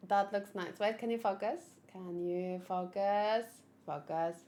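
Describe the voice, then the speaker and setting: A woman's voice: mid-range voice, unhurried, warm tone. A woman streamer chatting casually and giggling as she unboxes and assembles a figure, answering her chat.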